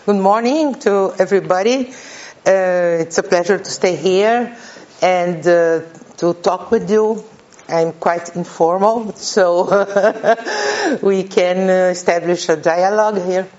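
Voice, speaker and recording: A middle-aged woman from Brazil with a Brazilian Portuguese accent, a native Portuguese speaker giving an informal academic talk in a halting way with many fillers and restarts. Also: mid-range voice, expressive, darkish tone, highs cut off. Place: conference room.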